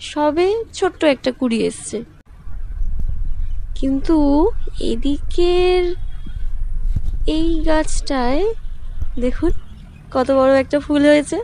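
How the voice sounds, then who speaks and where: A woman's voice: high voice, slow, pauses often, a little hiss or hum, rough recording. A woman narrating a vlog in a scripted style, as its host. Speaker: vlogger. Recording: loud music over the voice. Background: loud music.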